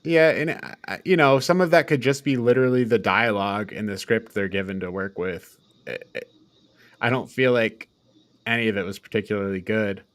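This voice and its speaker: A man's voice: fairly low pitch, brisk, fairly bright tone, no echo. A man co-hosting a casual film discussion, speaking in a blunt, critical way. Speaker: co-host of a movie review show.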